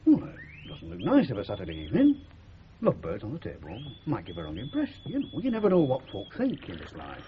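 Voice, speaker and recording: A man's voice: lowish voice, wildly swooping pitch, quick pace. An actor playing a blustering, easily affronted middle-aged working-class father with a Northern English accent, rambling and exclaiming in a 1970s radio comedy. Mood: fussy. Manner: exclamatory, rambling, homely dialect delivery. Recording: muffled, slightly hissy 1970s radio recording.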